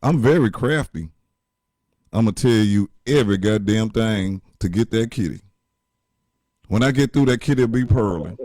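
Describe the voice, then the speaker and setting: A man with a deep voice gives blunt, profane relationship advice in casual chat. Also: moderate pace, pauses often, warm tone, dry recording, studio quality.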